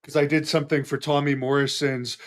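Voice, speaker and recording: A man's voice: a little high, little pitch movement, brisk. Man hosting, eager and fact-filled. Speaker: host. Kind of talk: casual interview chat.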